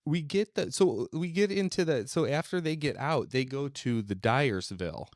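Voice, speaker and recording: A man's voice: mid-range voice, lively intonation, normal speed, dry recording, studio quality. A man co-hosting a casual podcast discussion, rambling and thinking aloud as he goes. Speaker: podcast co-host. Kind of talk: casual discussion of an old radio serial.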